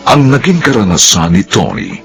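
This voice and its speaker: A man with a Filipino accent narrating a radio drama and reading announcements in a solemn, measured, theatrical way. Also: fairly low pitch, huge pitch swings, creaky. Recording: loud music behind the voice. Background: dramatic music score.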